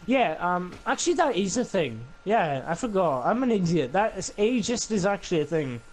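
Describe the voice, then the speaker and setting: A young man with a Welsh accent chatting and joking excitedly with a friend while playing a co-op shooter, his voice jumping high when he gets hyped. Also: moderate pace. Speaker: gaming streamer. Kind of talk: casual co-op gameplay chat.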